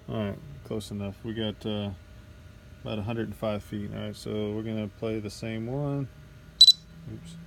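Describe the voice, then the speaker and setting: A man with a fairly low, gravelly voice talks casually through a gear test, pausing to think aloud. His delivery stays very even, and faint outdoor noise sits behind him on a phone recording. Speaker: vlogger testing drone gear. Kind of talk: casual unscripted narration of a field test. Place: outdoors at night in a neighborhood. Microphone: handheld cell phone. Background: outdoor ambience and passing vehicle noise.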